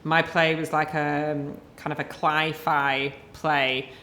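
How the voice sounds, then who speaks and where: A woman with a notably low voice hosts a relaxed podcast conversation. She talks in a loose, chatty way, laughing easily and tossing in wry, self-mocking asides. A faint background hiss sits under her voice. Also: slow. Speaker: podcast host. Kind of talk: casual conversational interview.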